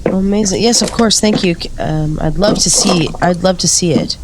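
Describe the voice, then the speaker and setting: A woman's voice: on the low side, moderate pace, very noisy, lightly acted. A woman playing a polite, curious investigator in an audio drama, asking tentative questions in an attentive, natural conversational way. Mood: eager. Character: polite, curious female investigator gathering an eerie account. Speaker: interviewer.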